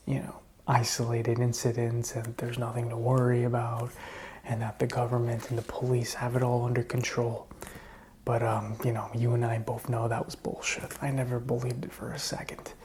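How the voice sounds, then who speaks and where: A man playing a wary but helpful apocalypse survivor in a whispered, soft-spoken ASMR roleplay, breathy, hesitant and conversational. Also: completely flat delivery, moderate pace, slight background noise, lightly acted. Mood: unsettled, disbelieving. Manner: hesitant, low-key, conversational. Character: wary, helpful man sheltering a stranger during zombie apocalypse.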